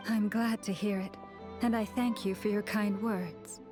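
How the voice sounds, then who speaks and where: A woman's voice: mid-range voice, steady tone, a little slow, over music, lightly acted. A woman playing a composed, dutiful young priestess leader in a video game, speaking formally and courteously. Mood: polite, gracious. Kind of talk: video game character acting.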